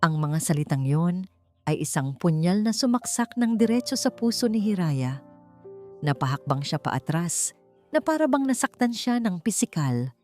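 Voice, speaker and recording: Low-voiced Filipino woman narrating drama over music. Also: big pitch swings, moderate pace, a little mellow. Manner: emotive, theatrical delivery. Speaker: audiobook narrator. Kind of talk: dramatic scripted story narration.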